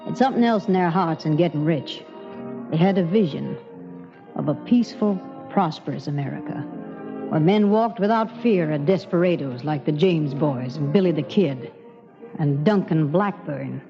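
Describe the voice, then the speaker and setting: Low-voiced frank Old West chorus girl. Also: expressive, moderate pace. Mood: wistful storytelling. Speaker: narrator and actress. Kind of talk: 1940s radio acting. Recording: muffled old radio recording with music.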